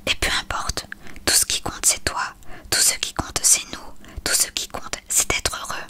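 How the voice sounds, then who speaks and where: A reader voicing a smitten, anxious older male writer from a novel in a breathy whisper. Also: a little slow, lightly acted. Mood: devoted.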